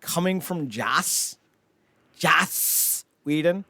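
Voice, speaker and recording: A man's voice: a little high, lively intonation, very slow, bright, forceful tone, no echo. A man in his thirties hosting a podcast, giving casual, wry and opinionated commentary on entertainment news with joking asides. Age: 30s. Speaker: podcast host.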